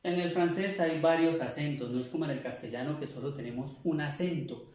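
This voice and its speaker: A man's voice: on the high side, brisk, a little mellow. A man from Latin America teaching French pronunciation, repeating each rule and correcting himself as he goes. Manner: repeats rules, corrects himself mid-sentence. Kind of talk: explaining pronunciation lesson. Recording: very echoey room.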